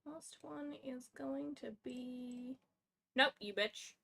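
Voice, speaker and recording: Woman, casual streamer, slow delivery, gravelly fry, self-mocking and scattered. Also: sits high, pushed and bright. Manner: scattered, self-mocking, narrates her own fumbles. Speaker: livestream co-host. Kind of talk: casual livestream chat.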